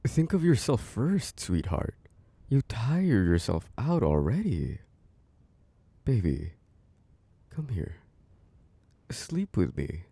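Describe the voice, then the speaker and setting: A devoted, protective boyfriend, played by a man, coaxes a tired partner toward sleep in an intimate ASMR roleplay. His voice is low and mellow, recorded close and dry. He murmurs tender reassurance in soft, breathy tones. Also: sing-song, takes it slowly, pauses often, dry recording, heavily acted. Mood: gently pleading.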